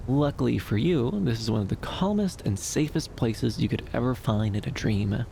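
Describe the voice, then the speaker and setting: A man playing a gentle, shy, dreamy young femboy in an ASMR roleplay, soft-spoken and hesitant, soothing, with lilting upturns. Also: mid-range voice, expressive, normal speed, gravelly, a little hiss or hum. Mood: calm, welcoming.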